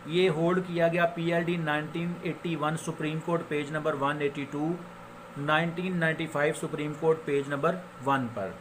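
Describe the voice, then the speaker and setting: Pakistani guy doing a casual law lecture, voice a bit high, drops in english legal phrases, some noise behind him. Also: steady tone. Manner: explanatory, switches into English legal phrases, reading English slowly and haltingly. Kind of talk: informal lecture explaining law with examples. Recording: noticeable background noise.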